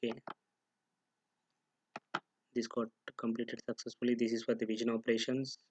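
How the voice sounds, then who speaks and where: A man giving an unscripted, step-by-step software tutorial, halting and pausing mid-sentence as he goes. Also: mid-range voice, monotone, slight background noise.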